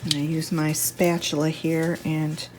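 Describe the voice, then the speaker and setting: A woman with a slightly stuffy, congested voice, giving a casual, chatty home cooking demonstration at an unhurried, thinking-aloud gait. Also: low voice, little pitch movement, faint music behind, rough recording. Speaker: home cook, video host. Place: home kitchen.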